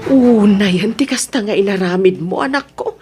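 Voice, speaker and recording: A woman's voice: medium pitch, normal speed, over music, close to an everyday voice. A woman playing a worried, scolding middle-aged mother in a radio drama, reproachful but caring. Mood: scolding, dismayed. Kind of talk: radio drama acting in Ilocano.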